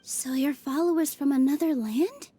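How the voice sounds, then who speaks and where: A woman's voice: high voice, normal speed, natural voice. Woman voicing a bubbly young festival dancer. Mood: cheerful. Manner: bubbly, animated. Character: cheerful young female festival dancer, eager and kind. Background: game soundtrack music.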